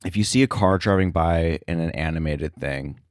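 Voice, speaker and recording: A man's voice: deep voice, moderate pace, no echo, studio quality. A man talking casually as an interview guest, explaining his sound editing work in a thoughtful, somewhat hesitant way. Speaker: podcast guest, sound effects editor.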